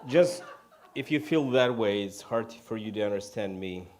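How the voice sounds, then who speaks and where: A man from Ukraine with a Ukrainian accent preaches informally in English as a second language, halting and self-deprecating. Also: lowish voice, expressive, darkish tone. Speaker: guest preacher. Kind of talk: informal sermon.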